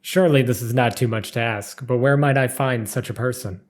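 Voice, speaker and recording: A man's voice: medium pitch, a little fast. A man reading a scripted story aloud as narrator, with formal, careful delivery.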